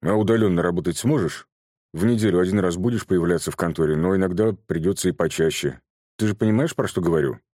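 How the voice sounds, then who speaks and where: A man voicing a stern, brisk businesswoman who speaks calmly and curtly. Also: low voice, no echo, clean studio sound. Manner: calm, curt, matter-of-fact.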